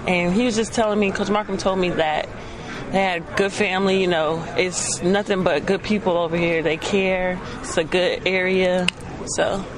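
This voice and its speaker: A young Texan woman answers questions in an easygoing drawl, keeping her replies short and starting many of them with a hesitant um. The crowd chatter behind her is clearly audible. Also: fairly low pitch, fairly even intonation, normal speed. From Texas. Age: young adult. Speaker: college basketball player guest. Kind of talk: casual radio interview answers.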